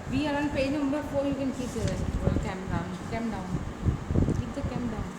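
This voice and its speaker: A woman's voice: sits high, normal speed. A woman teaching an English lesson in a classroom with an Indian English accent, slipping into Hindi to explain, rephrasing words and checking with "right?". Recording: echoey room with loud background noise.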